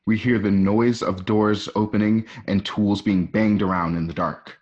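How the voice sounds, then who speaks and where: A man's voice: on the low side, fairly even intonation, a little slow, darkish tone. A man narrating an amateur radio play, reading out screenplay stage directions. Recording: dull lo-fi home recording.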